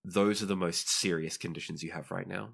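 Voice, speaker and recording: A man with a low voice acts as game master. He narrates a tabletop game and guides the players through it. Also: fairly even intonation, a little fast, gravelly, fairly bright tone.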